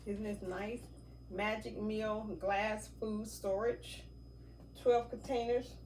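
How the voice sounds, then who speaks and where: A woman's voice: medium pitch, level tone, slow, a little mellow, distant mic. A woman with a stuffy nose chatting casually in an unboxing vlog, rambling with many fillers. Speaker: vlogger. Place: outdoors near a garden. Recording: noisy, from off mic.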